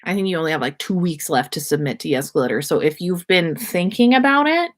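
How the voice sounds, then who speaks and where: A woman's voice: on the low side, animated, a little fast. A woman hosting a livestream in casual chat, rambling and effusive, with slangy talk and free swearing. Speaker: podcast host.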